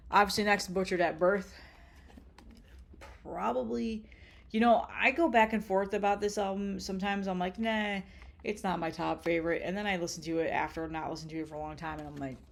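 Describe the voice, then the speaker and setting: A woman's voice: fairly low pitch, vocal fry. A woman with a stuffy, congested voice, chatting casually and bluntly about her tape collection, rambling with lots of ums and swearing. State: congested with a cold. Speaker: vlogger showing her cassette collection.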